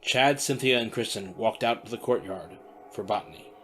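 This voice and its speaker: A man narrating a fantasy audio drama in a steady, descriptive storytelling manner. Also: fairly low pitch, normal speed. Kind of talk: scripted narration.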